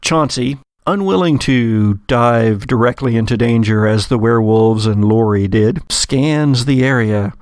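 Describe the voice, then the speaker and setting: A man's voice: mid-range voice, unhurried, hardly any pauses, clear, resonant tone, dry recording, clean studio sound. A man running a tabletop game as game master, narrating dramatically with theatrical, florid phrasing. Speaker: game master of an actual play podcast.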